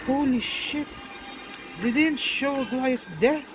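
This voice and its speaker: A man's voice: very high voice, slow. A man streaming a game and reacting casually, with exclamations and swearing. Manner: exclamatory, profane. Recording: loud game music behind voice.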